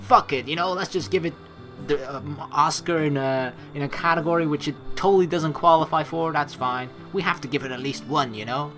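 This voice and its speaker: A man's voice: a little high, fairly quick, music in the background. A man doing casual playthrough commentary, reading narration aloud and joking with plenty of swearing, on a close mic. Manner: animated, joking, swears freely. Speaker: let's play streamer.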